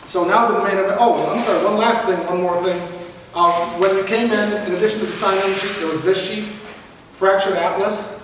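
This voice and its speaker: A man's voice: high voice, steady tone, brisk. A man hosting an event, making announcements and introducing the speaker in a loose way, repeating words and saying "you know". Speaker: event host. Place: event hall in an arts building. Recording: echoey hall, mic far off, background noise.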